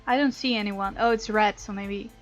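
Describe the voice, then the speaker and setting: A woman hosting a let's play, reading a visual novel aloud as story narration with playful, expressive asides. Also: medium pitch, moderate pace. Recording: dull, lo-fi sound with music behind.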